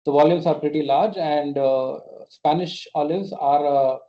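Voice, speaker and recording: An Indian-accented man speaks as a company executive on an investor call. His voice sits a little high and he explains haltingly, doubling back on words. The sound is muffled, as over a conference line. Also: fairly even intonation. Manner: hesitant, repeats words as he explains. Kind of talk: unscripted earnings call answers. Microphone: conference call connection. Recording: muffled, band-limited conference call audio.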